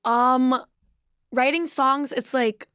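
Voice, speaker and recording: A young woman from Mexico, a native Spanish speaker, chatting about her music in an interview, animated and laughing often. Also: high-pitched, slow, smooth, dry recording, clean studio sound. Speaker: musician guest. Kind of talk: casual interview answers.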